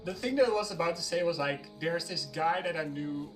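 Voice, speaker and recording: A man's voice: fairly high pitch, a little fast, far from the mic, over music. A man chatting on voice chat, hesitant and searching for words as he talks. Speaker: guest on voice chat. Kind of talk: casual chat.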